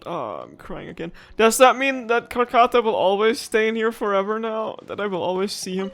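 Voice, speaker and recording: Casting: young woman gaming streamer, medium-pitched voice, chatty and reactive, casual commentary over faint game music. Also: lively intonation, brisk. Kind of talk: casual let's-play commentary. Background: video game music.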